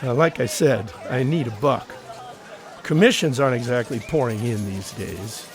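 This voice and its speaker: A man's voice: medium pitch, normal speed, close to an everyday voice. A man acting in an audio drama as a breezy, broke beach sketch artist with wry, casual banter. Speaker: actor.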